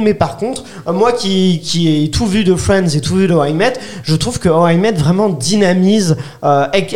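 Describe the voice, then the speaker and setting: A man with a notably high voice co-hosts a casual podcast. He argues with enthusiasm, stumbling and restarting his sentences as he goes. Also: a little mellow. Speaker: podcast co-host. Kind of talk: casual debate about a TV series.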